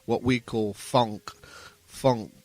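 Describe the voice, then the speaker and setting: A man's voice: medium pitch, fairly even intonation, extremely slow, darkish tone. A man speaking casually and earnestly as an interview guest, modest and reflective.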